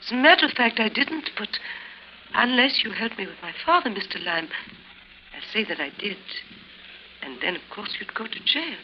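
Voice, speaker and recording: Unyielding young European female blackmailer, formal delivery, very sharp tone, old noisy radio sound. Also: medium pitch, very animated, normal speed. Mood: cool, insistent. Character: determined young European woman, coolly scheming blackmailer. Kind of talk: 1950s radio drama acting. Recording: noisy 1950s radio recording.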